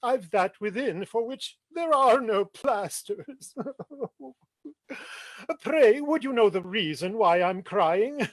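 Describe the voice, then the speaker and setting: A man's voice: sits high, lively intonation, slow, dry recording, full character voice. A man voicing a weeping male stage player who mourns the comic muse, reading verse in a theatrical, declamatory way. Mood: mock mournful. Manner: theatrical, declamatory, laughing through lines. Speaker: stage reader.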